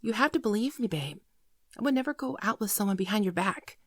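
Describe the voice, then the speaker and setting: A timid female shapeshifting alien , acted by a woman, pleads softly in an intimate ASMR roleplay. The delivery is halting, tearful and apologetic, often falling into a whisper. The sound is dry and close, with a mid-range pitch. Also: lively intonation, dry recording. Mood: pleading, distressed. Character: frightened, apologetic female shapeshifting alien posing as human girlfriend.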